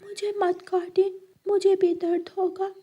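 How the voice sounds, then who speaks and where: A woman voicing a gentle, wronged thorny bush in a cartoon, pleading plaintively. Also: very high-pitched, little pitch movement, unhurried. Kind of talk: Hindi moral cartoon character acting.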